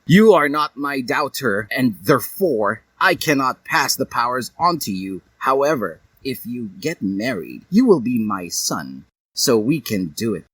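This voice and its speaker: A haughty fire-spirit mother in a comic fantasy story, played by a man. The delivery is theatrical and commanding, with animated pitch and a close, dry sound. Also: unhurried, breathy edge, dry recording, far from the mic, exaggerated voice, low-quality recording. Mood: stern. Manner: imperious, theatrical.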